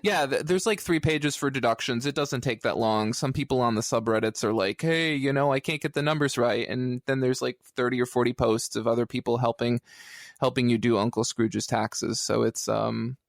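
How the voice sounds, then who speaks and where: A man's voice: medium pitch, moderate pace, creaky, no echo. Rambling male podcaster, very dry close sound. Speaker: podcast co-host. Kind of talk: casual comic book review chat.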